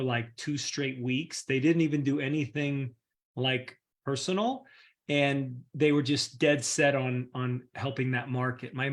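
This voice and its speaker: A man hosting an online community call, talking in an enthusiastic, casual way with frequent fillers. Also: medium pitch. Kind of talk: casual upbeat group call.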